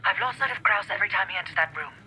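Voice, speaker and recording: An actor playing a tense covert agent in a spy game, guiding an operative with terse, urgent instructions over loud background noise. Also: medium pitch, lively intonation, very fast, natural voice. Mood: urgent, controlled.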